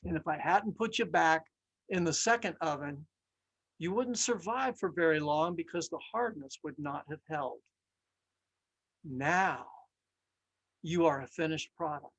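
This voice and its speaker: A woman voicing a calm, patient male master potter in a storybook tale, speaking in a quiet, measured, reassuring way. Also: low voice, husky, dry recording, exaggerated voice, low-quality recording.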